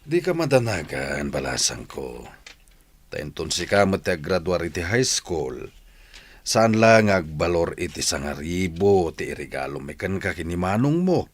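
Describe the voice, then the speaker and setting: A man's voice: deep voice, very animated, a little slow, heavily acted. A man with an Ilocano accent playing a kindly, supportive older uncle in a radio drama. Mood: kind, coaxing. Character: kindly, supportive older uncle raising his nephew and niece.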